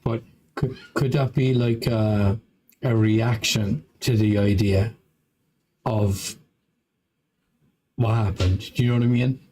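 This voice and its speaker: A man's voice: fairly low pitch, fairly even intonation, slow, long pauses, dark tone, rough recording. A man in a casual talk, asking questions in a hesitant, circling way full of fillers and restarts. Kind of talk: casual live-stream conversation.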